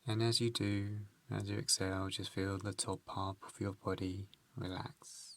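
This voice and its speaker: A man leading a guided meditation, giving calm instructions in a gentle, unhurried manner with measured phrases and pauses. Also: deep voice.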